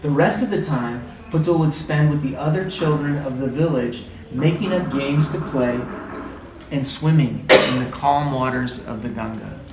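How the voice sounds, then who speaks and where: A man's voice: medium pitch, moderate pace. A man leading an interactive read-aloud lesson, speaking in an encouraging, conversational way and repeating what listeners say. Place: indoor group training session. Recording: roomy, distant, clearly noisy room recording.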